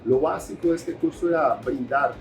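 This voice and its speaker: A man's voice: mid-range voice, moderate pace, music in the background. A Colombian man with an accent tinged by years in Brazil, answering interview questions as a researcher, with many fillers and restarts. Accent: Colombian Spanish with Brazilian Portuguese traces. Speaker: researcher guest.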